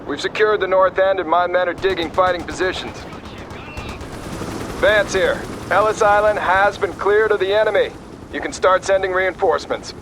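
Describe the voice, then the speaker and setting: Guy doing brash gung-ho army captain in a war game, high male voice, lots of battle noise behind. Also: moderate pace, natural voice. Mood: brisk, determined. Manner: brash, forceful soldier talk. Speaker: army field officer. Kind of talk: video game military radio acting.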